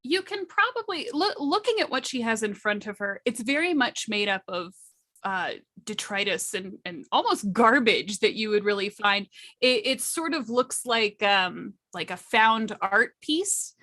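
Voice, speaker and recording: Bright-voiced woman describing a game scene. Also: on the high side, lively intonation, unhurried. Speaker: tabletop roleplaying player.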